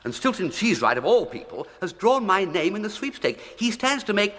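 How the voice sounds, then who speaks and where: An actor playing a flippant, idle young English gentleman with a breezy, plummy, upper-class accent and exclamatory period slang, in a British radio comedy. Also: high-pitched, very animated, brisk. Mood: chatty, flippant. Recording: long echoing room reverb.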